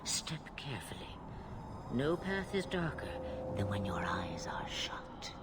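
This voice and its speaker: A woman playing an ancient, imperious witch in a video game, speaking cryptically in a breathy, husky, theatrical way. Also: rumbling low, sing-song, heavily acted. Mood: portentous. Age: older. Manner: cryptic, dramatic, slow pronouncements. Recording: loud background noise and ambience.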